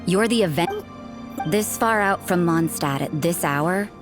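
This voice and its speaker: A woman's voice: on the low side, expressive, measured pace. A woman voicing a cool, cynical church sister in a video game, dry and aloof. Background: game music.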